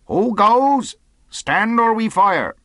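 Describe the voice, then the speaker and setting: A man's voice: fairly low pitch, slow pace, a bit bright, dry recording, studio quality. A man voicing a stern, defiant sea captain in a storybook, curt, commanding and scornful. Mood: hailing, defiant.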